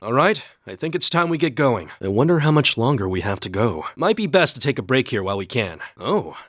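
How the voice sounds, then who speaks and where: A man voicing a calm, earnest young highland warrior in a video game, with measured, slightly formal phrasing. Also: no echo, clean studio sound. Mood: calm, friendly. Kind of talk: English video game voice acting.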